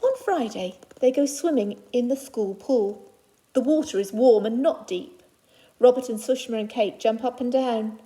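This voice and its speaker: Higher-pitched woman reading to young children. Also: mellow, low-quality recording. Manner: simple, child-directed delivery with deliberate phrasing and reassuring asides. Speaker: infant school teacher reading aloud to new pupils. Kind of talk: children's picture book read aloud with personal asides.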